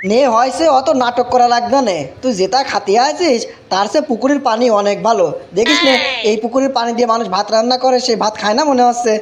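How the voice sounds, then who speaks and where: A man's voice: very high voice, fast talker, hardly any pauses. A man voicing a bossy, mocking young drinking buddy in a comic cartoon, scolding in an exasperated way with a rural Bangladeshi accent. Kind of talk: Bengali comic cartoon voice acting.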